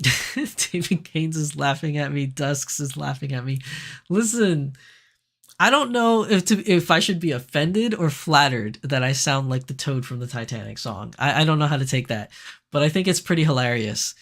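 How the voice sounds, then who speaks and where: A man hosting a casual livestream, chatting and reading viewer comments, his voice raspier than usual because he is sick. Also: on the high side, moderate pace. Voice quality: raspy. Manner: chatty, animated, laughs easily, frequent fillers. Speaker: livestream host.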